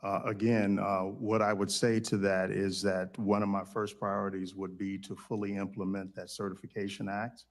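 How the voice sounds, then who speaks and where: A man's voice: on the low side, flat delivery, moderate pace, hardly any pauses. An American man giving formal testimony as a nominee, hesitant, guarded and polite.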